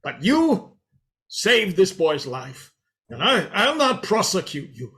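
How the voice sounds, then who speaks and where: Man acting stern, high-pitched indignant gentleman. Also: huge pitch swings, slow, full character voice. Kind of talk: radio play reading, formal period speech.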